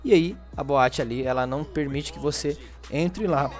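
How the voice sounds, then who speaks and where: Higher-voiced Brazilian man, chatty game commentary. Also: moderate pace, darkish tone, faint music behind. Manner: informal, chatty, frequent tag questions. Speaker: gaming channel host. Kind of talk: casual video game walkthrough commentary.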